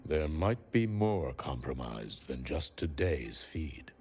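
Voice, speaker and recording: An actor voicing a suspicious, needling professor in a video game, measured, insinuating and condescending. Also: male voice, extremely low pitch, big pitch swings, darkish tone, faint music behind, exaggerated voice. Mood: cold, accusing. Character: suspicious, needling male academy professor, coldly probing.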